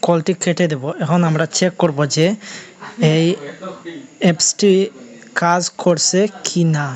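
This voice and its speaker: A man's voice: on the high side, steady tone, a little slow. A man narrating a how-to video as a tech host, in repetitive, instructional step-by-step phrasing.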